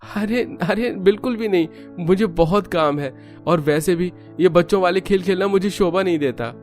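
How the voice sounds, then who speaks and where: Caring, burdened young brother played by a male narrator, emotional cartoon drama, higher than natural voice. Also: moderate pace, soft background music, lightly acted. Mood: protesting.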